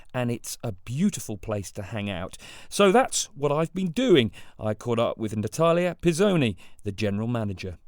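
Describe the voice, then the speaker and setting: A man's voice: medium pitch, no echo, clean studio sound. A man hosting a local podcast, reading a jokey upbeat intro and then interviewing a guest. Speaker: podcast host and producer.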